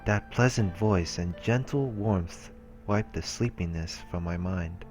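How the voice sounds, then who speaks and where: A man reading visual novel text aloud in a casual, halting way, as a let's player. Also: sits low, a little mellow, faint music behind.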